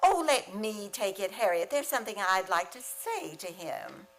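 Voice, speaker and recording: A woman playing a sharp-tongued, sardonic schoolteacher in an old-time radio comedy, theatrical and sarcastic. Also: medium pitch, sing-song, moderate pace, poor audio. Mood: wry, determined. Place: theater stage, radio play performance.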